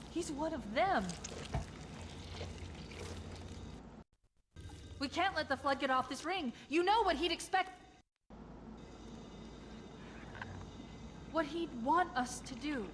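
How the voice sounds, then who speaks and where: An actress voicing a confident military AI in a video game, assertive and articulate with a sardonic streak. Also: female voice, sits high, lively intonation, normal speed. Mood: grave, resolved. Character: sharp-witted, confident female military AI companion. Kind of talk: video game cutscene acting. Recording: reverberant hall, clear background noise.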